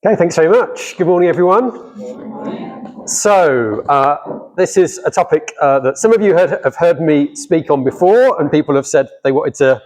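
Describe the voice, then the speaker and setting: A man's voice: fairly high pitch, lively intonation, moderate pace, darkish tone. A middle-aged man giving a guest talk on scripture to a church audience, speaking conversationally and putting questions to his listeners. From not from Cardiff.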